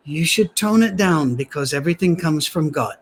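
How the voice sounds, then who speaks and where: A man, a pastor, preaching a sermon in a soft, gentle voice, conversational with dry humorous asides, at a church gathering held on a soundstage. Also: fairly high pitch, lively intonation, moderate pace, husky.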